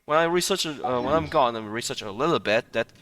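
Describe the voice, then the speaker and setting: A man giving casual, rambling playthrough commentary on a game, often halting and chuckling between phrases. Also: medium pitch, lively intonation, noisy background. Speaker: gaming streamer.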